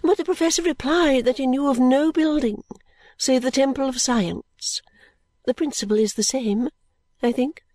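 A woman's voice: high-pitched, normal speed, warm tone, dry recording, voice pushed up. A woman reading an audiobook, voicing a proud, self-assured middle-aged lady who lectures at length. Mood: lecturing.

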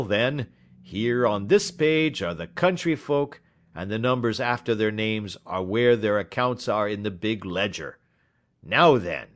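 Audiobook part: gruff, snappish stallholder, acted by a male reader, cocky and blustering, with lively swings in pitch. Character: gruff, hot-tempered cocksure market poultry salesman. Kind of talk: audiobook character dialogue.

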